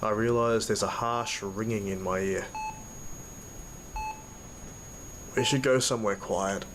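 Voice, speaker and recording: An actor playing a badly injured, weary man, narrating his ordeal in halting fragments over heavy noise in an audio drama. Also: fairly low pitch, steady tone, natural voice. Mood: sorrowful, tender. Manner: halting, broken into short fragments. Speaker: narrator and lead character. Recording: loud noise behind the voice.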